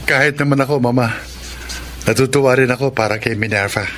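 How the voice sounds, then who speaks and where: A man's voice: medium pitch, fairly quick, a bit bright, slight background noise, lightly acted. An actor playing a kind, hesitant grown man, shy in love, with a Filipino accent in a radio drama. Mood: pleased. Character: kind, bashful long-single grown son, shy in love. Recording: radio drama with music underneath.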